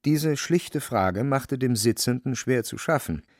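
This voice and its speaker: A man narrating a literary radio play as scripted storytelling, with a creaky voice. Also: medium pitch, animated, unhurried, no room sound, studio quality.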